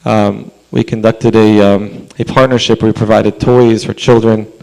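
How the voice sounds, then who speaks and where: A man whose voice is hoarse after a long holy day speaks at a council meeting. He talks in an earnest, chatty way with fillers and asides, at a middle pitch. He is clearly addressing a room from a podium. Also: level tone, normal speed, darkish tone, rough recording. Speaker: rabbi speaking at public comment. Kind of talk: public comment speech. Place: council chamber.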